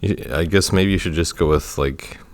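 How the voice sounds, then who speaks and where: A man with a low, deep voice joins a casual chat, asking playful questions and gently teasing with a chuckle in his tone. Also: moderate pace, slight background noise.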